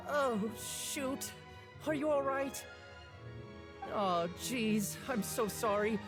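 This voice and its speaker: An actor playing a young newspaper seller who is nervous, chatty and quick to apologize. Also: male voice, very high-pitched, over music, full character voice. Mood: apologetic. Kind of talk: video game voice acting.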